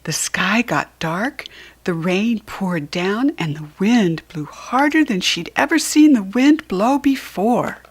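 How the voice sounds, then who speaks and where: An American woman narrates a children's picture book with a highly animated, swooping pitch. Her pace is slow and deliberate, as if teaching young readers to follow along. She is expressive and adds small asides for the listener. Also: husky. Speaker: storybook reader.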